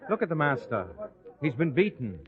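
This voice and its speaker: Smug scheming priest, lively pitch, phone-like lo-fi sound. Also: male voice, normal speed, crowd noise. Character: cunning high priest who bribed a mob. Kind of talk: old-time radio drama acting. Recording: tinny phone-like lo-fi recording.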